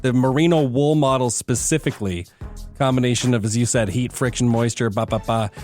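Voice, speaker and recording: A man's voice: medium pitch, fairly quick, a little mellow, soft background music. A man co-hosting a radio morning show with casual, chatty banter. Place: radio studio.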